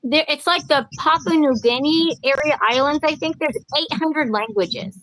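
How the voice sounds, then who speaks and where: A woman's voice: high voice, moderate pace, fairly bright tone, a little hiss or hum, low-quality recording. A woman in her forties hosting a casual livestream chat, talking in a chatty, giggly way. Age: 40s. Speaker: livestream host.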